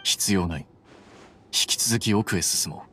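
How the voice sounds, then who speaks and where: A man playing an aloof, self-assured intellectual in a Japanese game, cool, deadpan and composed. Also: low voice, a little slow. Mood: calm.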